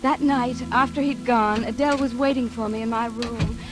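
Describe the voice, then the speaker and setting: A woman's voice: fairly high pitch, fairly even intonation, normal speed, lightly acted. An actress in a 1940s radio drama playing a haunted, wistful woman, dreamy and hesitant as she confides her memories. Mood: wistful, recounting. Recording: vintage radio recording with music behind.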